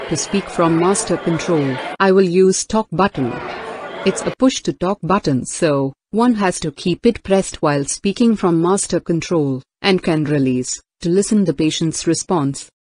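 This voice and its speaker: A woman's voice: on the low side, a little slow. A woman giving a formal, instructional scripted product demo narration. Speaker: product demonstrator.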